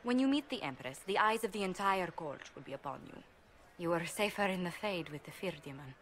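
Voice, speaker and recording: An actress playing an anxious, refined diplomatic adviser in a fantasy game, speaking earnestly and formally in caution. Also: female voice, lowish voice, lively intonation, brisk, fairly bright tone. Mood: urgent, worried. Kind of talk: dramatic fantasy game acting.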